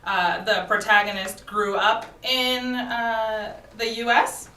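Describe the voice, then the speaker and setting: A woman's voice: a little high, steady tone, slow pace, bright, forceful tone, reverberant, distant mic. A woman raised in Japan, now a comedian and actor, chatting playfully as a guest in a studio interview and laughing often. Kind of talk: casual interview chat.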